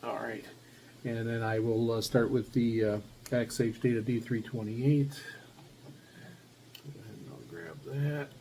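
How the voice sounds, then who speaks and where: Man with mellow voice, casual demo. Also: mid-range voice, fairly even intonation, gravelly. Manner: halting, thinking aloud while handling gear. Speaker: radio hobbyist host. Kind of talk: casual hands-on demonstration. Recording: faint background noise.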